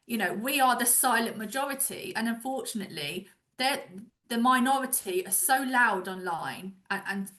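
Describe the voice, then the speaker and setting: A woman's voice: on the high side, sharp tone. A woman giving blunt, insistent political opinion in conversation as a guest on a talk show.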